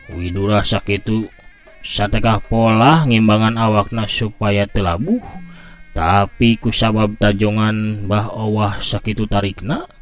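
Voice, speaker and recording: Low-voiced male storyteller, unhurried and animated, faint music behind. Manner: unhurried, lively telling with vivid sound effects. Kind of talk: scripted storybook narration.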